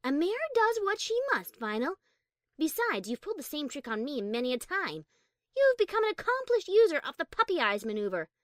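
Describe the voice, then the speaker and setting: Woman playing a high-pitched, haughty, refined pony lady. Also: sing-song, a little fast, dry recording, clean studio sound. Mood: haughty, amused. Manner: refined, formal, drily sardonic. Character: prim high-class female pony, haughty yet fond. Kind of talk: fan audiobook character acting.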